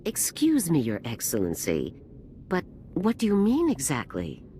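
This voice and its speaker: Stern, low-voiced female general. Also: wildly swooping pitch, a little slow, mellow, a little hiss or hum, heavily acted. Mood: indignant. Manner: formal, grave military delivery. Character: stern, hard-nosed female military general in a space opera. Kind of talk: video game cutscene voice acting.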